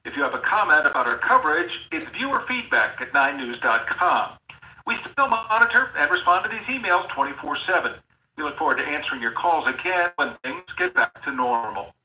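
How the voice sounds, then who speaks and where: A man's voice: fairly high pitch, lively intonation, fairly quick, pushed and bright, recorded from a distance, slight background noise. An American man reading a polished, formal recorded voicemail announcement for a news station, replayed from a phone. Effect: telephone playback. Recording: phone message replayed through speaker, roomy.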